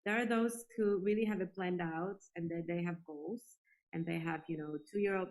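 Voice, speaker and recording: A woman's voice: lowish voice, steady tone, normal speed, darkish tone. A woman from Poland giving earnest, reflective answers as a guest in a conversational interview. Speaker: interview guest, space industry executive.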